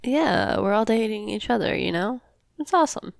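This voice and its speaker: A woman's voice: mid-range voice, sing-song, normal speed, vocal fry, dry recording, clean studio sound. A woman acting as a laid-back, affectionate young girlfriend in a casual audio roleplay, talking in a breezy way.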